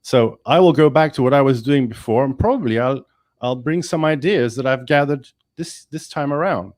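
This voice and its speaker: A male engineering professor speaking in a webinar discussion, energetic and emphatic, with many restarts. English is not his first language. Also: mid-range voice, moderate pace, no echo, studio quality.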